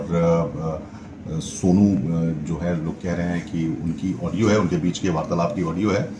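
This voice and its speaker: Male senior police officer, low voice, formal halting press statement, roomy sound with clear noise. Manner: measured, hesitant, formal. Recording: echoey room, clear background noise.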